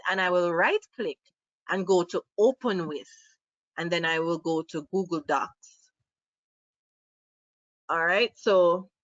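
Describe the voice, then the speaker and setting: Bright upbeat Jamaican woman teaching. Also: a little high, no echo, highs cut off. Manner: upbeat, asks the audience questions. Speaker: online workshop presenter. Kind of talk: live screen-share tutorial. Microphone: built-in laptop mic.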